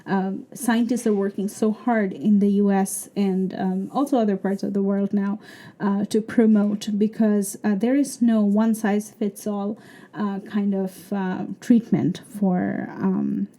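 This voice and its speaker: A woman's voice: medium pitch, flat delivery, measured pace, warm tone. A woman from Bangladesh with a Bangladeshi accent, a PhD student explaining her research thoughtfully with many fillers in an interview. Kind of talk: interview answer.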